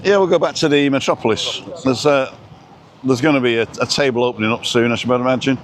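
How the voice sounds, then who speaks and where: A man's voice: medium pitch, fast, slight background noise. A man from Wales chatting casually on a walk and reading viewer comments aloud, recorded outdoors on a phone. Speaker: live-stream host. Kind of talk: casual chat while walking.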